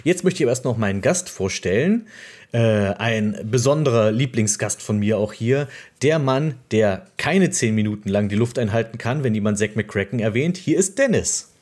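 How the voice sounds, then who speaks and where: A man hosting a gaming podcast, talking casually and playfully, his voice croaky from a cold. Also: mid-range voice, expressive, moderate pace, a little mellow. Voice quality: husky. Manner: chatty, playful, many fillers. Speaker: podcast host.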